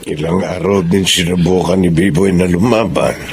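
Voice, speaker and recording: A man playing a solemn, consoling friend in a measured radio drama style. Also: sits low, steady tone, background noise. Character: solemn, consoling male friend of a bereaved father. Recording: music under the voice.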